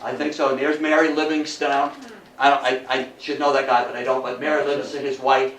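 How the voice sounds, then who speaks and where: A man giving an informal public lecture, talking conversationally and haltingly and often putting questions to his listeners. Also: medium pitch, flat delivery, a bit bright, a little hiss or hum. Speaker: guest lecturer. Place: indoor meeting room, before a local audience. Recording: echoey room, distant microphone.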